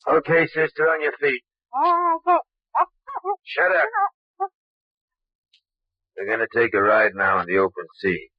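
A man's voice: lowish voice, lively intonation, a little slow, pauses often, no echo. An American actor playing a menacing thug kidnapper in old-time radio crime drama, with taunting, slangy tough-guy talk. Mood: taunting menace. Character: sneering, menacing thug kidnapper, a butler gone bad. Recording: dull, muffled old radio recording.

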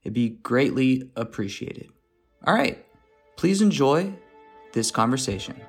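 A man's voice: mid-range voice, sing-song, measured pace, faint music behind. A man hosting a podcast and interviewing a guest in a chatty, easygoing way. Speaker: podcast host. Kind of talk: casual chat.

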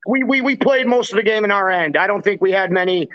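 A man's voice: high-pitched, fast, muffled recording. A man hosting a hockey podcast, talking casually and in slang like a chummy former player. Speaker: podcast host. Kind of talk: casual sports podcast talk.

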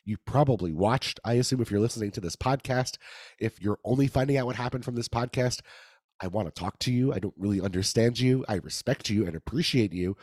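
A man hosting a sports podcast, his voice raspy and a little hoarse, with a playful, laughing manner. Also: medium pitch, a little fast, a little mellow, dry recording, studio quality. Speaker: podcast host. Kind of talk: casual sports podcast chat.

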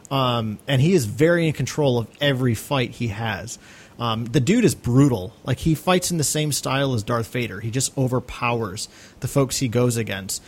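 Male co-host, medium pitch, conversational and opinionated, informal chat show. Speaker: podcast co-host. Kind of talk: casual opinionated discussion.

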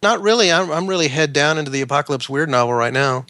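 A man's voice: fairly high pitch, quick pace, pushed and bright, no echo. A male author guest talking casually about his writing, earnest and prone to stammered restarts. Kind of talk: casual interview chat.

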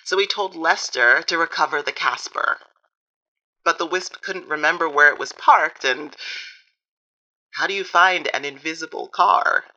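Female interviewed author, slightly low and crisp-bright, dry storyteller for a radio documentary. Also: unhurried, muffled recording. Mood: wry, explanatory. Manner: dry, matter-of-fact storytelling. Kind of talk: documentary interview in audio fiction.

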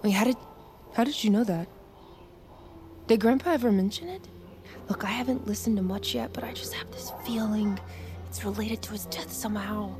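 A woman's voice: medium pitch, moderate pace, pauses often, lightly acted. Woman acting a young female army recruit, American accent, earnest, uneasy, naturalistic audio drama, music underneath. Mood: urgent, confused. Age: young adult.

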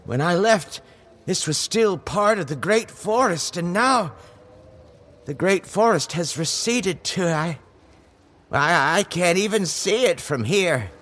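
Slow, animated talking goat. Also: male voice, high-pitched, full character voice. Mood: rueful. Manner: hesitant, halting, theatrical delivery. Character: talking goat, anxious, world-weary guide to Faerie. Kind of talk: audio fantasy drama acting.

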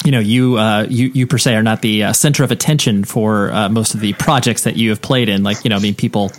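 A man hosting a podcast, asking questions in a halting way as he searches for words. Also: fairly low pitch, steady tone. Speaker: podcast host. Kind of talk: interview questions.

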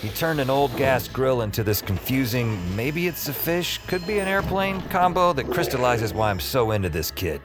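A man's voice: medium pitch, very animated, moderate pace, few pauses, over music, close to an everyday voice. An actor playing a wry, self-deprecating young man who narrates his bewildering adventures in an audio drama. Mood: wry narration. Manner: casual, wry, colloquial. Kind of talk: audio fiction acting with first-person narration.

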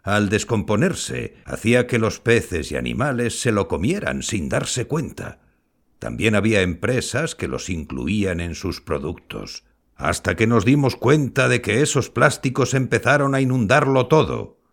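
A kindly old grandfather in an audio drama, reflective and modest. His voice sits low with a gravelly edge and rises and falls expressively as he explains things in measured phrases. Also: very animated, exaggerated voice. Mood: earnest, reflective. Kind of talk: scripted audio fiction acting.

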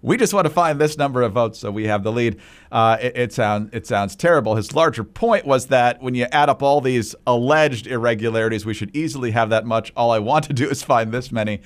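An American man co-hosting a political podcast, offering measured commentary and handing questions to a colleague. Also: mid-range voice.

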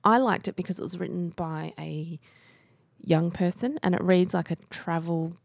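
A woman with an Australian accent co-hosting a book podcast, giving steady, informative background with frequent "um"s. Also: on the low side, moderate pace, gravelly, no echo, studio quality. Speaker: podcast co-host. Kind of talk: casual book chat.

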